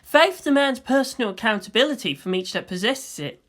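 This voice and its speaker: Philosophical boy , played by a woman, in a story reading: composed, solemn, certain, crisp bright voice. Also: a little high, lively intonation, brisk. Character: calm, philosophical boy debating with unshaken conviction. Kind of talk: fan fiction audiobook character voice.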